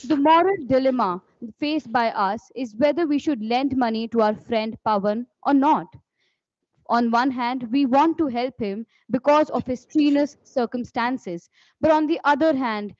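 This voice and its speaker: A woman's voice: on the high side, darkish tone, dry recording. A young woman with an Indian accent, a student giving a prepared, formal speech at an ethics competition, recited with some restarts. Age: young adult. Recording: dull dry lo-fi recording.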